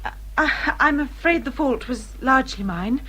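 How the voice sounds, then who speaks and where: A gentle young Englishwoman of good family in a period radio drama. Her voice is lightly raised in pitch and husky with breath. She is courteous and self-effacing, with quiet firmness underneath. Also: lively intonation, moderate pace, heavily acted. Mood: shy, hesitant. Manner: polite, hesitant, restrained.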